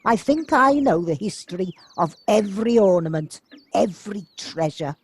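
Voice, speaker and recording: A woman acting in an audio play as a kindly, fondly reminiscing villager, with a slightly husky voice. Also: mid-range voice, expressive, moderate pace. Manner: fond, reminiscing.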